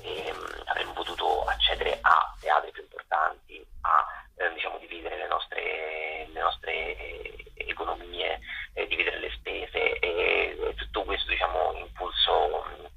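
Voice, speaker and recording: A man giving halting, repetitive answers as a guest in a radio interview over the phone. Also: low voice, completely flat delivery, creaky, sharp tone, slight background noise. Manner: halting, with repeated words. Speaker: festival organiser and interview guest. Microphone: phone call to radio studio. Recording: dull, lo-fi telephone line.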